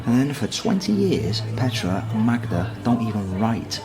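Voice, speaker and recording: An actor playing a disapproving, dutiful brother who reasons and lectures in naturalistic radio drama dialogue. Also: male voice, lowish voice, big pitch swings, normal speed, over music. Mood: measured.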